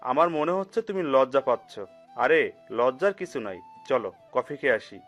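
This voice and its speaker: A man's voice: medium pitch, expressive, moderate pace, fairly bright tone, dull audio, close to an everyday voice. A man playing a kindly, generous middle-aged uncle in an audio story, hearty and reassuring. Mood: hospitable, insistent. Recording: music under the voice.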